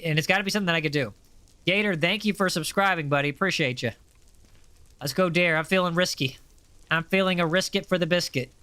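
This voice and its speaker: A man's voice: a little high, fast talker, bright, forceful tone, clean tone, no room sound. A young American man with a general American accent, chatting casually and upbeat while playing a game on a livestream and reading chat aloud. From USA. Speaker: streamer.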